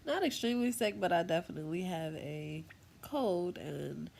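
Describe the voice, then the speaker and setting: A woman's voice: lowish voice, expressive, a little slow, gravelly, a little mellow, slight background noise. Stuffy-nosed young woman chatting to camera. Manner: rambling, chatty, full of fillers. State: congested from a cold. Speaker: channel host. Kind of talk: casual vlog monologue. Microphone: tablet microphone.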